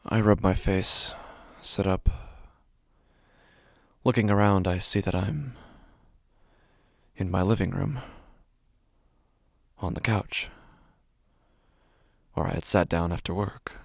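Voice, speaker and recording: A man performs a frightened, sleepless man narrating a waking nightmare for a horror audio drama. His voice is low and gravelly, recorded close and dry. He speaks haltingly and intensely, circling back over his own phrases. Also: no echo, heavily acted. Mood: dazed, uneasy. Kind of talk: horror fiction podcast, dramatic first-person monologue.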